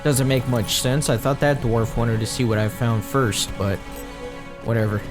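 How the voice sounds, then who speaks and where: A man's voice: mid-range voice, moderate pace, over music. A man hosting a gaming series, giving easygoing, casual commentary as he plays. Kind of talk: casual let's play commentary.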